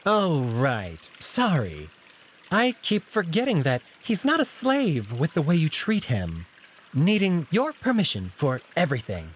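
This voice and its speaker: A man's voice: a little high, huge pitch swings, a little slow, slight background noise, voice pushed up. A man voicing a sly anthropomorphic jackal noble who talks in a mock-polite, taunting way for a visual novel game. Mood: smug, taunting.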